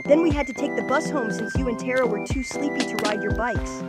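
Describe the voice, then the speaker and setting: An actor voicing a casual, excitable young kid hero in a cartoon comic dub. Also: mid-range voice, a little fast, over loud music, natural voice. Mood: easygoing.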